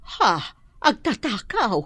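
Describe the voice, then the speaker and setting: An ailing Ilocano housemaid and mother, slow and plaintive, in a close dry sound. She carries her weariness and pain in every line of this radio drama. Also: sits high, sing-song, a bit bright, slightly rough, no room sound, full character voice. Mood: hurt, protesting. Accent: Filipino, Ilocano. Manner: plaintive, pained. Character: ailing, weary working mother, meek housemaid.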